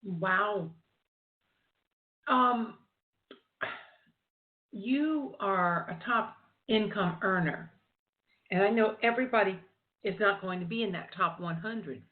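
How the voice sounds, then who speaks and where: A woman's voice: mid-range voice, slow, pauses often. Woman host asking questions over a phone line. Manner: friendly, leading questions with tag questions. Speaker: interview host. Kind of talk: phone interview.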